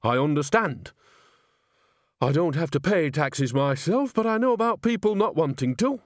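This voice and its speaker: A man's voice: a little high, expressive, moderate pace, no room sound, studio quality, voice pushed up, natural voice. A man voicing an earthy, cheeky and rebellious old witch woman in an audiobook.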